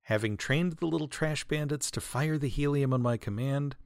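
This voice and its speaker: A man hosting a comedy podcast in a wry monologue, deadpan and mock-grandiose, with florid, wordy phrasing. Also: medium pitch, normal speed, no echo, clean studio sound. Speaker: podcast host, writer and audio editor. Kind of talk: wry comedic monologue, answering listener mail.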